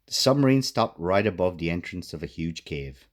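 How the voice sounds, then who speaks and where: A man reading a children's storybook aloud as an expressive narrator. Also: low-pitched, moderate pace. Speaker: author reading own children's book.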